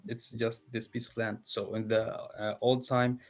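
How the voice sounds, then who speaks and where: Earnest man from Palestine, halting. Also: medium pitch, flat delivery. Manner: earnest, hesitant, frequent fillers. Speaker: guest. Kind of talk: casual interview.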